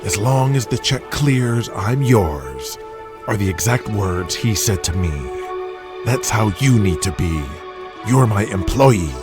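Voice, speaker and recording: An actor playing a blunt, impatient wrestling promoter with a husky, raspy voice in an audio drama. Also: male voice, lowish voice, animated, slow pace, over loud music. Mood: stern, dismissive. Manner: blunt, curt, bullying. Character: gruff, impatient, domineering male wrestling promoter boss.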